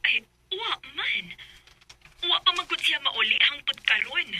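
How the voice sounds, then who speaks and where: A woman's voice: sits high, lively intonation, measured pace, very noisy. A woman with a Cebuano Filipino accent playing a worried mother in a radio drama. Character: worried mother looking for her daughter. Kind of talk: Bisaya radio drama acting.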